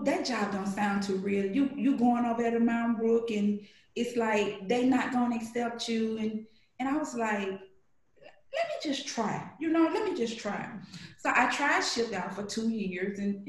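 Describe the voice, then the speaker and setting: A middle-aged woman from Alabama with a Southern accent, telling stories in an animated, playful way as a guest on a podcast. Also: on the high side, a little slow, reverberant, poor audio. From Alabama, USA. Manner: animated storytelling, playful, acts out voices in quotes. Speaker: guest, grocery shopper. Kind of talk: casual podcast conversation.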